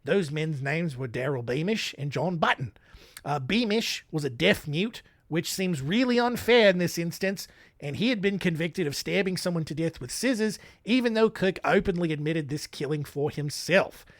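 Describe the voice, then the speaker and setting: A man's voice: on the high side, lively intonation, normal speed, no room sound, clean studio sound. Man in a wobbly fake Aussie accent. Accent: put-on Australian, shaky. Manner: rambling, self-mocking asides. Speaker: storyteller. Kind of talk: comedic true-crime retelling.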